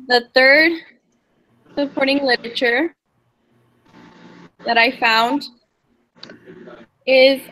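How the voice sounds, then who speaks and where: A woman's voice: fairly high pitch, a bit bright, low-quality recording. A young woman from Indiana giving a class presentation, summarizing research articles hesitantly from her notes. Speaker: student presenter.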